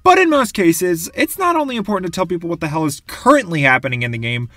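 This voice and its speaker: A young man giving scripted, wry comic narration about video games in a casual, animated way. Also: high-pitched, fast talker, no echo. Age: young adult. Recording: dry voice-over with music behind.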